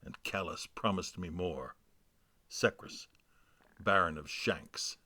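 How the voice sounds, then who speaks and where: A man voicing a proud, haunted alien pirate baron, theatrical and forceful, in a dramatic game lore reading. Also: low-pitched, slow pace, no room sound, heavily acted. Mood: proud, bitter.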